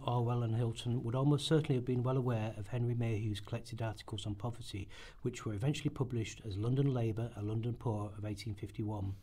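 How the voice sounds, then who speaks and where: A man reading scripted documentary narration for a radio play rehearsal, in a measured way with occasional stumbles. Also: mid-range voice, warm tone.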